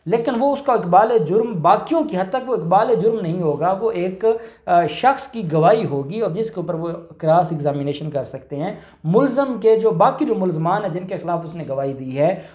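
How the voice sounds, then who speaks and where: A man with a Pakistani accent giving an informal legal explanation, halting and rephrasing his points as he goes. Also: high voice, mellow. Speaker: lawyer explaining law. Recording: roomy, echoing indoor sound.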